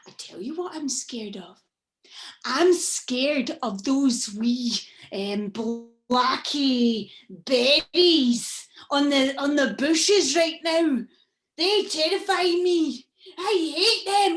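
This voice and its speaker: A woman's voice: reverberant, heavily acted. A woman doing a shrieking, whiny monster. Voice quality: high, squealing and shouty. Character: petulant male island monster, whiny and shouty.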